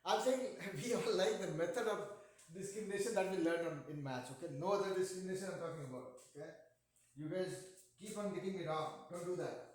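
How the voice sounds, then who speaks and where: Casting: middle-aged Indian-accented male tutor, high voice, joking and quizzing students, very echoey room. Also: a little fast. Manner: joking, asks students questions. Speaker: math tutor. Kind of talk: online math class.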